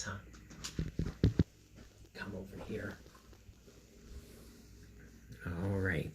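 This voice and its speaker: Male children's story reader, unhurried and theatrically silly, recorded in an echoey room with noticeable noise. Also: medium pitch. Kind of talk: read-aloud and chat for children. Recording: roomy echo, clear background noise.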